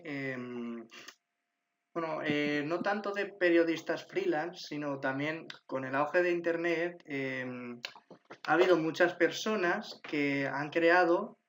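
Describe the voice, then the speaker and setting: A man's voice: on the high side, takes it slowly, fairly bright tone, a little hiss or hum. A man asking interview questions in a casual way, hesitant and searching for his words. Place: remote call.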